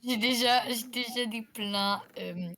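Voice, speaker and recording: A woman with a Québécois accent chatting casually with friends in a voice chat, pausing often with fillers. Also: on the high side, a crowd in the background. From Quebec. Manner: hesitant, lots of fillers. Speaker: gamer in group voice chat.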